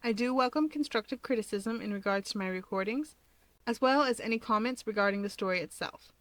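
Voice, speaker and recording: A woman's voice: on the high side, brisk, fairly bright tone, dry recording. A woman who reads fan fiction aloud and chats informally to listeners as the host of her channel. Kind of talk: expressive storytelling and casual chat to listeners.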